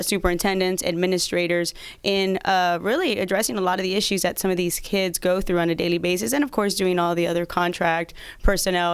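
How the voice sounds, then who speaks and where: A woman's voice: lowish voice, clear, resonant tone, dry recording. A woman in her thirties from south Texas, answering interview questions as a lawyer and candidate. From south Texas, United States. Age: 30s.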